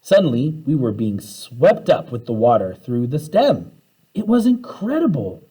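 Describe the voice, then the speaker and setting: A man reading a children's story aloud with expressive, dramatic narration. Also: mid-range voice, unhurried, husky, rough recording. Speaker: story reader.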